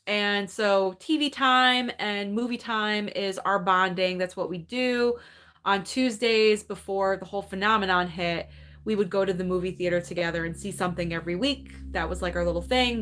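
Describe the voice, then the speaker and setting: Woman, late thirties, movie channel host, casual live Q&A, chatty, upbeat, average pitch. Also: steady tone, moderate pace, a bit bright. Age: 30s. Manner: chatty, upbeat, thinks aloud. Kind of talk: casual live-stream Q&A, reading viewer comments. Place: her room at home.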